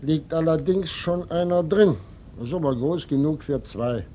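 An actor playing a folksy, sly, unbothered innkeeper in a German radio play, husky and chatty, repeating himself. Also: male voice, on the high side, unhurried, warm tone, a little hiss or hum, natural voice. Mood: brisk, sly.

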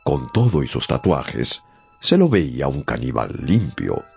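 A man's voice: very deep voice, wildly swooping pitch, unhurried, vocal fry, a little mellow, slightly rough, full character voice. An actor playing a young sailor who narrates his own sea adventure in a dramatized radio story. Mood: wry, reflective. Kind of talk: dramatized first-person storytelling. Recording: music bed behind narration.

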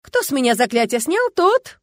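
A woman's voice: high-pitched, very animated, moderate pace, dry recording, pitched above the performer's own voice. Woman voicing a haughty, whiny young gargoyle lad. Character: cheeky, sly, pouty young male gargoyle with airs.

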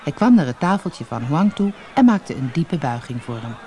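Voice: mid-range voice, big pitch swings, normal speed, warm tone. A narrator reading a storybook expressively and dramatically for an audiobook, on an old cassette recording.

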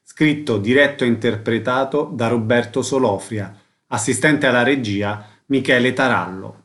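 A man's voice: medium pitch, normal speed, a bit bright. Male radio presenter. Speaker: radio host. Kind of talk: scripted announcements and interview questions.

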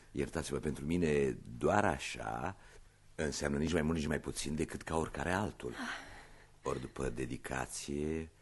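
An actor playing a shrewd police inspector in a radio play, husky, questioning with courteous irony and insistence. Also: male voice, very deep voice, full character voice. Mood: smoothly probing. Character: shrewd male police inspector, politely probing and wry.

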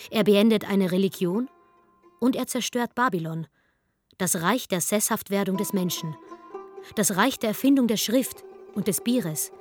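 A woman narrating a German radio drama as a scripted story, in a measured storytelling manner. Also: medium pitch, natural voice. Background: music.

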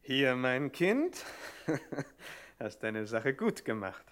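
Ruthless gang chief, smug, curt, highly animated intonation, 1960s radio comedy style. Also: male voice, drawn-out words, heavily acted. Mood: smug, indulgent. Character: gangster boss, smug sugar daddy, curt and ruthless.

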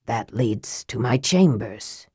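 A woman voicing a grim, caustic young male wizard in an audiobook, slow and hushed with bitter deliberation. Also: rumbling low, breathy edge, no echo, clean studio sound, voice pushed down, full character voice. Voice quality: hushed, whispery. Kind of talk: audiobook character acting.

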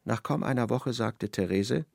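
A man with a low, creaky voice narrating a literary radio drama. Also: moderate pace, a little mellow, no echo, clean studio sound.

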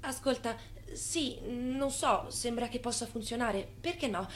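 An actress in a radio play playing the doubting, long-suffering wife of a schemer, with pointed questions. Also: female voice, fairly high pitch, unhurried, a little hiss or hum, natural voice. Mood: wary, resigned. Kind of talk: theatrical radio play dialogue.

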